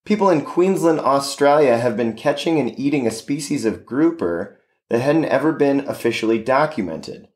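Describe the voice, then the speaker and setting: Man reading an amateur news story, mid-pitched. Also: animated, moderate pace, a little mellow. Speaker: podcast listener contributing a news segment.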